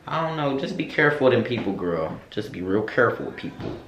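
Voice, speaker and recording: Young man, vlogger, sassy and candid, slang-heavy talk, mid pitch, recorded in a roomy echoey space. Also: big pitch swings, fast talker, a bit bright, slight background noise. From United States. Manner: sassy, candid, slangy, addresses viewers as girl. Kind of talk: casual Q&A chat to camera. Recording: echoey room.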